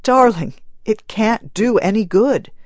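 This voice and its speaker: A gentle, worried husband and father, read by a woman, talking softly and coaxingly in an unhurried way. He is kept in the reader's own voice, only slightly raised. The reading is dry and close, with faint music behind it. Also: medium pitch, no echo, pitched above the performer's own voice. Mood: pleading. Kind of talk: audiobook character reading.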